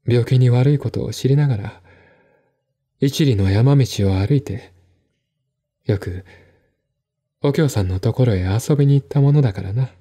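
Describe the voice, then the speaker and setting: A man acts out a melancholy gentleman in a radio drama. His voice is mid-pitched, dark and rather rough-edged. He confides earnestly, pleading like someone troubled. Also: normal speed, pauses often, lightly acted.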